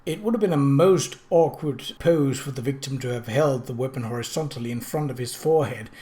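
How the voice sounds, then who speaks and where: A man voicing a professional, slightly gruff male police surgeon in an audiobook, with a formal, clinical manner. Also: mid-range voice, brisk, warm tone, close to an everyday voice. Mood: authoritative. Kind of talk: audiobook character dialogue.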